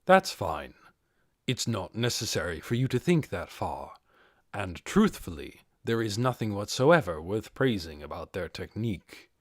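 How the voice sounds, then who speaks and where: A man voicing a composed, brooding undead overlord in a measured, commanding manner for a fantasy audiobook. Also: fairly low pitch, sing-song, no room sound, clean studio sound, pitched below the performer's own voice.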